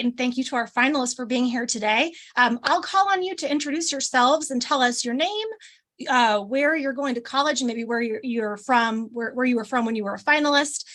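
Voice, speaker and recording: A woman's voice: on the high side, a bit bright. A woman hosting an online panel over a video call, chatty and loose, with lots of ums and restarts. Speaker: host of an online alumni panel. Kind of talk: casual live-stream hosting.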